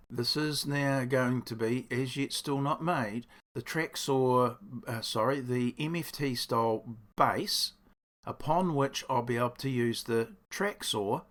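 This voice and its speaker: Man, croaky voice, mid-range pitch, casual workshop talk, rambling, self-correcting. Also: fairly bright tone. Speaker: woodworking channel host. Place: home workshop.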